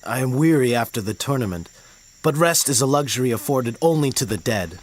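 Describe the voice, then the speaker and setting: A man's voice: medium pitch, animated, a little fast, close to an everyday voice. An actor voicing a weary but determined young male martial arts hero in a video game, speaking earnestly. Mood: resolute. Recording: game audio with noise.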